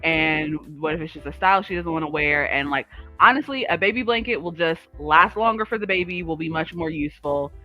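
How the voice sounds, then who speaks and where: A woman's voice: deep voice, animated, a little fast, few pauses, dull audio, soft background music. A woman co-hosting a livestream, chatting casually about crafts in a rambling, easily sidetracked way. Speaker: livestream co-host.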